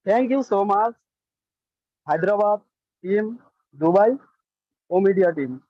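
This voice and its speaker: A Bangladeshi man with a Bangladeshi accent speaking fervently and haltingly as a brand ambassador on a webinar. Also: high-pitched, expressive, dry recording. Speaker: brand ambassador giving testimonial. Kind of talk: halting, emphatic speech to a webinar. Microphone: online video call. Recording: dull lo-fi call audio.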